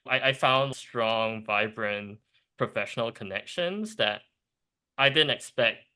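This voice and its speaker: A young man from Singapore, a startup co-founder, talking in a casual podcast interview in animated bursts with restarts and laughs. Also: medium pitch, takes it slowly, smooth.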